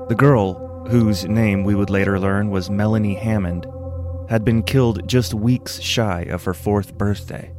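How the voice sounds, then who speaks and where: A man reading a scripted first-person story as narrator, in a measured, solemn manner. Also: fairly low pitch. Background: music.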